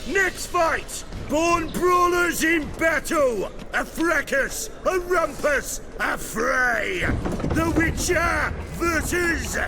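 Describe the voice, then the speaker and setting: A man's voice: extremely high pitch, expressive, very slow, gravelly, heavily acted. An actor playing a grandiose fighting-pit announcer in a video game, proclaiming bouts like a bloodthirsty showman. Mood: bombastic, triumphant. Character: bombastic male fighting-pit announcer whipping up a bloodthirsty crowd. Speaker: arena announcer. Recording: loud music and roaring crowd behind. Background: loud music, cheering crowd.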